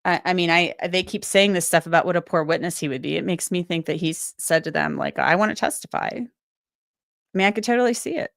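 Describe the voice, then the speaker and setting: A woman's voice: fairly low pitch, brisk, vocal fry, no room sound, studio quality. A woman host, getting over a cold, chatting loosely through viewer comments and thinking aloud with many restarts. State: recovering from a cold, voice a bit strained. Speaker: legal commentator and livestream host. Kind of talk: casual livestream chat reading viewer comments.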